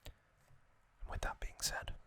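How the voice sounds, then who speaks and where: A man hosting an ASMR channel, speaking entirely in a soft unvoiced whisper close to the microphone, gentle and unhurried with frequent soft hesitations. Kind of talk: whispered ASMR trivia recap.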